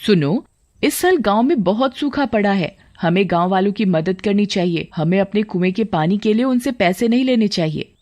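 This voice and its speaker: A woman voicing a principled, indignant merchant's wife in an animated moral story, firm and reproachful. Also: medium pitch, normal speed, natural voice. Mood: concerned.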